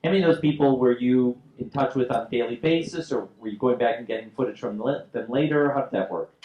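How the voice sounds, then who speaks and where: A man moderates a film Q&A, asking measured questions with the odd filler and a cleared throat. His pitch is middling for a man, and the room adds a noticeable echo. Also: highs cut off. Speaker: host, moderator. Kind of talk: post-screening Q&A moderation. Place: theater stage after a screening. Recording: roomy theater sound.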